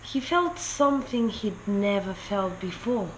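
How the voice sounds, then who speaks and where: A woman reading a children's picture book aloud in a deliberate, expressive storytelling way. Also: medium pitch, a little hiss or hum, low-quality recording. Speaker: story reader.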